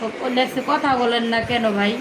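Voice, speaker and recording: Young female stream co-host, high voice, casual viewer chat, roomy echoing sound. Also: normal speed. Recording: echoey room, noisy live stream.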